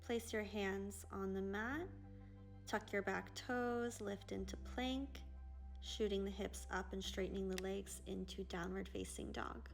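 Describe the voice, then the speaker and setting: A young woman teaching a yoga stretch class in a soft, sleepy, gentle voice, recorded by a camera across a small room. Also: medium pitch, steady tone, a little slow, gravelly, faint music behind. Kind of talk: guided yoga class instruction. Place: small pink-lit room, indoors.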